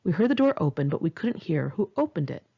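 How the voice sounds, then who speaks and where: A woman's voice: sits low, sing-song, quick pace, creaky, dark tone, breathy edge, muffled recording. A woman narrating her own memoir as an audiobook, in a candid, conversational and wry way. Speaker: author reading own memoir.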